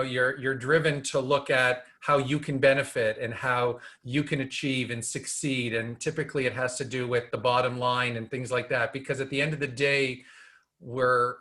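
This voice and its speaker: A man's voice: medium pitch, pushed and bright. A man in his fifties co-hosting a podcast, talking earnestly in a rambling, conversational way. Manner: earnest, rambling, filler-heavy. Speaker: podcast co-host. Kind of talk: casual podcast chat.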